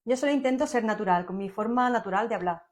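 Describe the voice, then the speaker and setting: A woman from Seville with an Andalusian accent, softly and warmly walking viewers through her makeup products. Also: a little high, fast talker. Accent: Andalusian Spanish, Seville. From Seville, Spain. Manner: soft, enthusiastic product run-through. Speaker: makeup content creator. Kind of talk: casual makeup tutorial to camera. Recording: music playing behind the voice.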